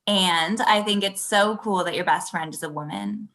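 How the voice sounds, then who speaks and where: A woman's voice: mid-range voice, normal speed, a bit bright, lightly acted. A young woman playing a wry, polite thirty-year-old girlfriend in a comedy table read. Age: young adult.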